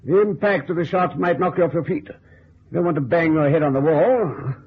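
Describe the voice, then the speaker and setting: An actor playing a brisk, fussy older army general with a clipped, commanding manner in an old-time radio drama. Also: male voice, a little high, slightly rough. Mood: barking orders. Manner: clipped, commanding military bark. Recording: muffled, thin vintage radio recording.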